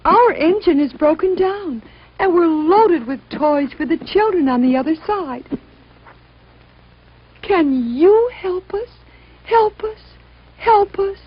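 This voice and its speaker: A woman's voice: lively intonation, takes it slowly, long pauses, no room sound, muffled recording, exaggerated voice. A woman voicing a helpless little broken-down train in a storybook, imploring and pleading. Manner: high, imploring.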